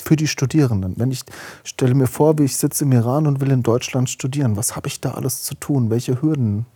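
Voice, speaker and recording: A man hosting a regional business podcast, asking questions in a relaxed, conversational way. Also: medium pitch, brisk, slightly rough, no echo. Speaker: podcast host.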